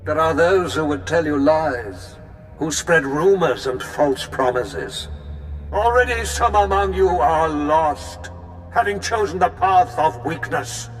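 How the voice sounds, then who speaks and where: A man's voice: a little high, animated, slow pace, faint music behind. An actor playing a fiery, pompous male cult leader in a video game, preaching grandly. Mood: solemn, preaching. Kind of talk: video game speech acting.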